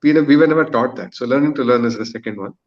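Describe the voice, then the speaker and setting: A man in his thirties giving a thoughtful, structured explanation as a podcast guest, pausing often to find his words. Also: mid-range voice, dull audio. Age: 30s. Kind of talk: thoughtful panel discussion answer.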